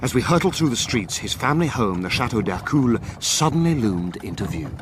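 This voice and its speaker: An actor playing a grave gentleman who narrates a mystery adventure in a literary, measured and ominous manner. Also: mid-range voice, very animated, close to an everyday voice. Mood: urgent, grave. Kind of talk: dramatic scripted narration. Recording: clear ambient background noise.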